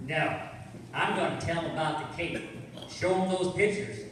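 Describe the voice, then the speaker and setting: Man acting streetwise male informant, high voice, accusing, slangy, radio crime drama, heavy hall echo. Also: normal speed, a crowd in the background, close to an everyday voice. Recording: echoey hall, far from mic, faint noise.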